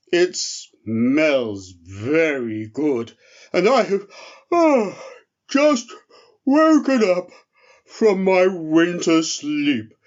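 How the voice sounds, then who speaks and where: A man voicing a huge, sleepy, friendly brown bear in a children's storybook, speaking with slow, drawling politeness. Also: a little high, a little mellow, highs cut off, exaggerated voice.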